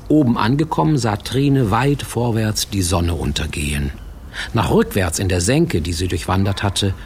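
Male radio-play narrator: folksy storyteller, lively swings in pitch, gravelly edge. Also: a little hiss or hum. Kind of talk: scripted fairy-tale narration for radio drama.

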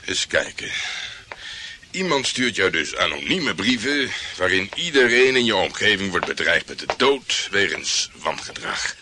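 A man's voice: low-pitched, big pitch swings, a little slow, bright, forceful tone, slight background noise, heavily acted. An actor playing a brash, self-important hard-boiled male private detective in a radio play, blustering and condescending. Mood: cocky.

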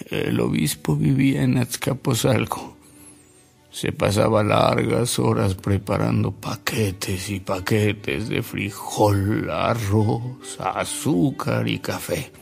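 Radio drama role: repentant older man, humble raconteur, heavy creak, husky, unhurried delivery. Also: fairly low pitch, soft background music, heavily acted. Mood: humble, reflective. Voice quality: gravelly, husky. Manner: hesitant, savouring, acts out quoted dialogue. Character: humble, repentant older gentleman telling fond anecdotes.

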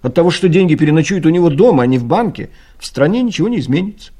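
Animated male narrator, slightly high. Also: very fast. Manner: expressive storytelling. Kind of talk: scripted literary narration.

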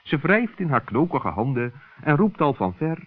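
A man's voice: a little high, big pitch swings, normal speed. A man narrating a scripted children's story in the style of an old radio play. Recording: thin, phone-like old radio recording.

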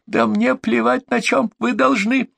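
Man putting on a shrill furious woman, screaming and abusive, very high voice, gravelly edge, audiobook reading. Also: normal speed, no echo, studio quality. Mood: shrieking. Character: furious shrieking asthmatic woman caller.

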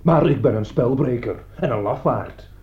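An actor playing a bitter, sardonic man in a radio play, husky, pointed and halting. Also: medium pitch, darkish tone, natural voice. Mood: bitterly accusing. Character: bitter, sardonic man who warned his friend. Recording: dull lo-fi radio play recording.

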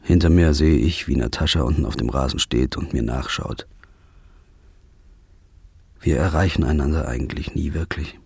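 A man playing a weary, haunted first-person narrator in a radio drama, with a dark, brooding delivery. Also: rumbling low, normal speed, vocal fry, pauses often, breathy edge, no room sound, exaggerated voice. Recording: music underneath.

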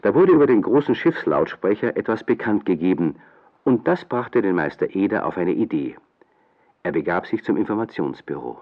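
A man's voice: sits low, big pitch swings, moderate pace, phone-quality sound, slight background noise. A man narrating a scripted German children's radio play as storyteller. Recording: thin, band-limited old radio play.

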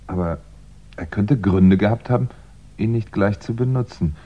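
An actor playing a calm crime writer turned amateur sleuth, reasoning deliberately in a 1960s German radio crime drama. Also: male voice, fairly low pitch, close to an everyday voice. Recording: dull, muffled 1960s radio recording.

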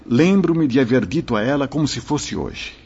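An actor playing a gentle, solemn spirit mentor in a radio drama, fatherly and reassuring, with formal, measured delivery. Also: mid-range voice, animated, breathy edge. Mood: solemn recounting. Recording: dull lo-fi radio drama recording.

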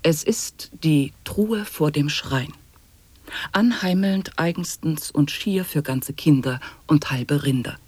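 An actress as a deadpan reciter of tongue-twisting nonsense in a radio play, articulating each syllable precisely. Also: female voice, low-pitched, slow, husky, dry recording, exaggerated voice. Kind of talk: avant-garde radio play recitation of sound poetry.

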